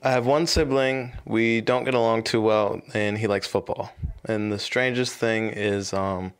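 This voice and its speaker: Smooth-voiced man answering casually. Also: lowish voice, steady tone, unhurried, faint music behind.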